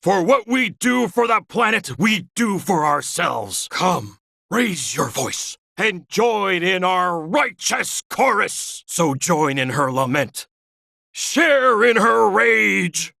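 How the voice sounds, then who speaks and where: An actor playing a zealous, impassioned preacher of planet worship in a video game, declaiming with a raspy voice. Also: male voice, high-pitched, very animated, slow pace, pushed and bright, no room sound, clean studio sound, heavily acted. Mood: rousing, fervent. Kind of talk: theatrical sermon oratory.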